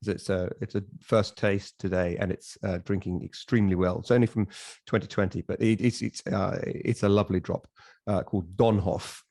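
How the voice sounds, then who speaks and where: Male co-host, lowish voice, bookish and hesitant yet emphatic, dry sound. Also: steady tone, moderate pace, darkish tone, no echo. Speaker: podcast co-host. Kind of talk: casual podcast chat.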